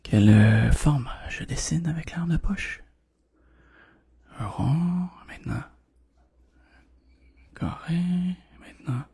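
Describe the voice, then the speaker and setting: A man whispers gently and reassuringly, playing a doctor in an ASMR roleplay, with a breathy voice and softly repeated words. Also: expressive, takes it slowly, pauses often, no echo. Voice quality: breathy whisper mixed with soft, creaky low voice.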